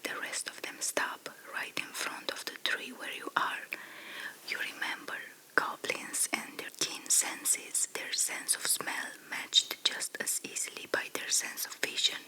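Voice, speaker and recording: Whispering woman telling a bedtime tale. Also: low-quality recording. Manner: soft, slow, hushed whisper. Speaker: storyteller. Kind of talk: ASMR whispered second-person story.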